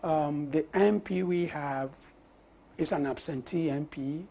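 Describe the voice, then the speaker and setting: Male Ghanaian interviewee, native Ga. Husky, fairly high register, measured conversational delivery broken by pauses. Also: mellow. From Osu, Accra, Ghana. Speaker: parliamentary candidate being interviewed.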